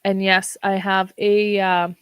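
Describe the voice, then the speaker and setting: Slow-talking hoarse woman livestream host. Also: medium pitch, level tone, dry recording. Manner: unhurried, with frequent ums. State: hoarse, froggy voice. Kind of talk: casual livestream talk.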